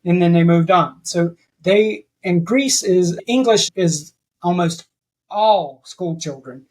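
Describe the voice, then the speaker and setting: A man's voice: sits high, slow. A man talking as a podcast guest in a rambling way, often restarting his sentences. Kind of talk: casual interview chat.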